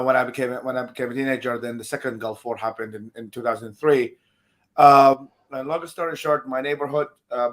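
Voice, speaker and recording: A man in his thirties, originally from Iraq, tells his own story as an interview guest. His voice sits at an ordinary middle pitch. He speaks reflectively, pausing on ums and uhs as he recalls each part. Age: 30s. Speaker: guest, nonprofit founder.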